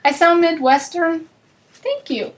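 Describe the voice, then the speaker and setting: A woman's voice: extremely high pitch, big pitch swings, slow pace. A woman with a northern US accent, chatting to viewers as she hosts a live card-making class. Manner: chatty and bubbly, chatting with viewers while working. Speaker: craft class host. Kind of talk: live crafting demonstration.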